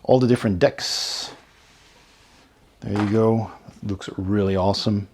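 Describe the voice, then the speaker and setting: A man giving casual unboxing commentary as host of a tabletop game channel, in an unhurried show-and-tell way with pauses. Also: fairly low pitch, fairly even intonation, a little mellow, slight background noise.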